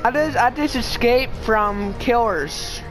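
A woman in casual gaming chat, excitable and shouting playful taunts at other players. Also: fairly high pitch, takes it slowly, rough recording. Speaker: gamer. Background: game music.

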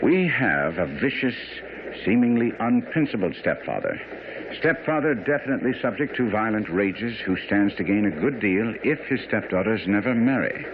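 Shrewd detective, low animated voice, precise and courteous, tinny noisy old radio drama. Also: male voice, normal speed. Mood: analytical. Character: shrewd, self-assured gentleman detective. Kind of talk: 1970s radio drama acting.

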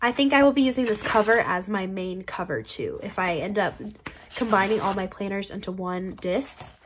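A woman's voice: medium pitch, normal speed, background noise. A young woman, congested from a cold, chatting in a bubbly, casual way while showing her planners. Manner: bubbly, chatty, many fillers. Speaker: planner vlogger. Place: indoors at a desk. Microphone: camera overhead, hands only seen.

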